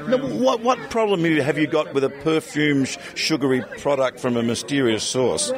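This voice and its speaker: An Australian man doing casual, playful roving interviews, phrasing his questions in a halting way. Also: fairly high pitch, expressive. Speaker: podcast interviewer. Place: busy convention venue. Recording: busy crowd chatter behind.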